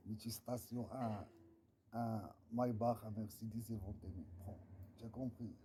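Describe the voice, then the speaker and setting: A man chatting casually in a non-native language, halting and searching for words. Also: fairly low pitch, steady tone, mellow, slight background noise. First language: not French. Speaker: vlogger. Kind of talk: casual chat.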